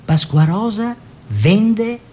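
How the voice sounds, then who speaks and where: An actor playing a self-satisfied, worldly art-collector husband who tells stories with wry emphasis in a radio play. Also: male voice, high-pitched, animated, drawn-out words, darkish tone, slight background noise, exaggerated voice. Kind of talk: theatrical radio storytelling in dialogue.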